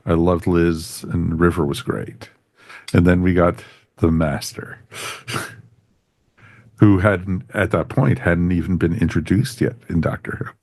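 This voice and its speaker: Low-voiced man chatting on a podcast. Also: fairly even intonation, a little slow, pauses often, darkish tone, no room sound, studio quality. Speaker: podcast co-host reviewing audio dramas. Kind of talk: casual review chat.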